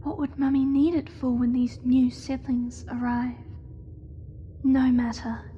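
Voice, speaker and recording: High, hushed spider hatchling. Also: female voice, little pitch movement, measured pace, lo-fi sound, slight background noise. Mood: quiet, devoted. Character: devoted young spider hatchling, eerily innocent and predatory. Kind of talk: scripted horror audio drama monologue.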